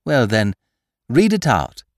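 A man voicing a triumphant, mocking gentleman thief in an audiobook, theatrical and commanding, firing off questions and taunts. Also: mid-range voice, very animated, takes it slowly, no echo, clean studio sound, pitched above the performer's own voice. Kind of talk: dramatised audiobook character voice.

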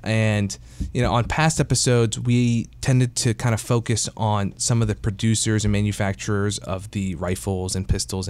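A man's voice: lowish voice, darkish tone, clear, resonant tone. A man hosting an investing podcast in a casual, conversational way, with frequent fillers. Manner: conversational, many fillers like "you know" and "uh". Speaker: podcast host.

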